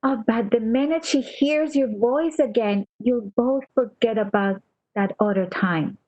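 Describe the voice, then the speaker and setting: Woman acting slow-paced devoted nurse, slightly muffled. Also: on the high side, no echo. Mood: reassuring. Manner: gentle, reassuring, deferential. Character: faithful, soothing, deferential female nurse hiding bad news. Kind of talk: radio play acting.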